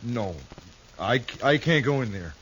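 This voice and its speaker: Actor as frightened, stammering simple young rancher. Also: male voice, mid-range voice, expressive, fairly bright tone, natural voice. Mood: panicked pleading. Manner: stammering, halting, pleading. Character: frightened simple-minded young horse rancher, pleading and remorseful. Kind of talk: 1950s western radio drama acting. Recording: muffled old radio recording, faint noise.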